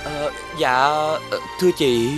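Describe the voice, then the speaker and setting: An actor playing a humble, kind, honest younger brother in an animated fairy tale, speaking respectfully. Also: male voice, medium pitch, unhurried, music in the background, natural voice. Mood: hesitant. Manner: respectful, deferential.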